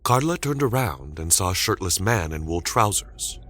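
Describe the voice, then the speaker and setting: A man narrating a scripted story dramatically, with suspenseful, deliberate phrasing. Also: low-pitched, very animated, fairly bright tone.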